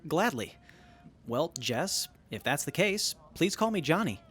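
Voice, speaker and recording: A man playing an earnest, literal-minded young soldier in an audio drama, speaking in a deadpan way. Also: a little high, a little slow, husky, soft background music, heavily acted.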